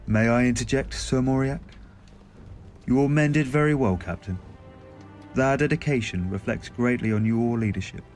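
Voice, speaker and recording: A man's voice: mid-range voice, moderate pace, a little mellow, soft background music, natural voice. A man playing a solemn, courteous warrior priest in a fantasy audio drama, using formal, archaic phrasing. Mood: courteous, approving.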